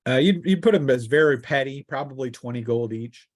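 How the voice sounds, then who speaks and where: A man running a tabletop fantasy game as game master, improvising narration and often pausing mid-sentence. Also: medium pitch, expressive, no room sound, clean studio sound.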